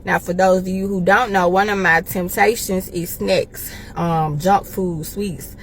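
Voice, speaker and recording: A woman in her thirties with an American accent, speaking informally and deliberately in a casual, confessional vlog, pausing for emphasis. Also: fairly low pitch, steady tone, slight background noise. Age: 30s. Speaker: life coach and evangelist making a personal video.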